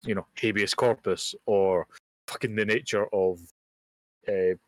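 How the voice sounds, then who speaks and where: A young man co-hosting a casual podcast, talking bluntly with casual swearing and quick jokey asides. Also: lowish voice, steady tone, no echo, rough recording. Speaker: podcast co-host. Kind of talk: casual chat. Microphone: home mic over a voice call.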